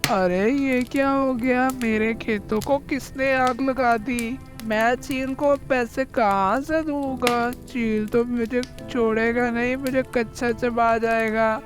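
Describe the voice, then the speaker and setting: A man's voice: very high-pitched, steady tone, slow pace, hardly any pauses. A man voicing an anxious, pleading crow farmer in a cartoon story. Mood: panicked, wailing. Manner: deferential, pleading. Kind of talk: Hindi cartoon voice acting. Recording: faint music behind the voice.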